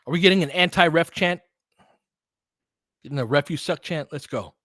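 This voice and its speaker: A man's voice: a little high, normal speed, a bit bright, dry recording, clean studio sound. A man doing informal, partisan live basketball commentary as a fan host, talking casually with some mild swearing.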